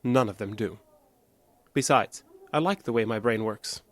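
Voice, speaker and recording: Coldly calm, detached man. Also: on the low side, unhurried, no room sound. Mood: cold, defiant. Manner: calm, precise, emotionally flat, evasive. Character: cold, detached man hiding violent tendencies under calm. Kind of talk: audio drama acting.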